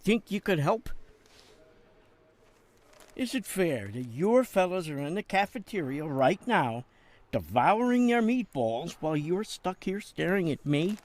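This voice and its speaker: A wry, persuasive jailed politician, lively delivery. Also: male voice, sits high, unhurried. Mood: wry, coaxing. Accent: American. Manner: wry, coaxing, avuncular, persuasive. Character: shrewd, smooth-talking jailed politician, older man, persuasive. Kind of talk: dramatic game cutscene acting.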